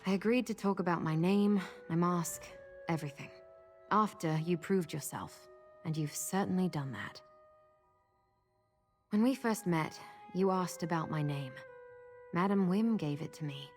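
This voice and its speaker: An actress playing a guarded, plain-spoken female adventurer in a fantasy video game, informal and a little halting. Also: on the low side, close to an everyday voice. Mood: guarded, confiding. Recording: long reverb with music behind.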